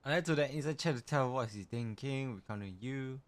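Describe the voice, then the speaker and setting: A man's voice: medium pitch, fairly quick. A man livestreaming, chatting casually and informally as he reacts to a game.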